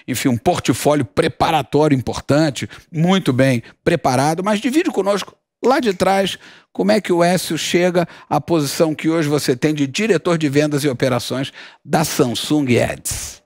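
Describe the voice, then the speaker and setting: A man's voice: a little high, dry recording, studio quality. Chatty brazilian male talk host. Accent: Brazilian Portuguese. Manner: lively, chatty, effusive interjections. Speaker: business show host. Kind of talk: interview hosting.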